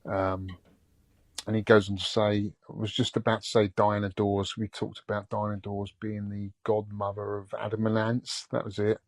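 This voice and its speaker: Relaxed British man, dry close sound. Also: on the low side, normal speed, no room sound. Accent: British English. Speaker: podcast co-host. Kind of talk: casual chat, reading listener comments.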